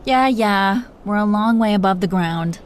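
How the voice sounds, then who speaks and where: A protective dragon queen, played by a woman, speaks to her lover in a mid-pitched voice. She is doting and playfully teasing, in the intimate style of roleplay audio. Faint ambient noise sits behind her. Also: measured pace, lightly acted. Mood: protective, encouraging. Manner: tender, playfully teasing, breathy whispers at times.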